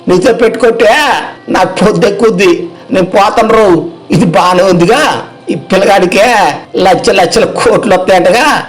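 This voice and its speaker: A man's voice: sits high, natural voice, low-quality recording. A man playing a blunt older village uncle in a moral story, with a rural Telugu accent and sarcastic rhetorical questions.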